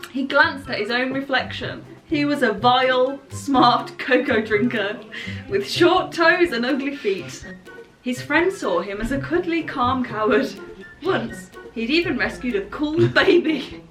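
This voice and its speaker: A woman's voice: high voice, lively intonation, measured pace, a bit bright, far from the mic. A woman doing a playful dramatic reading with banter, often giggling as she goes. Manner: giggly, often breaking into laughter. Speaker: vlogger reading generated stories. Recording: echoey room with music behind.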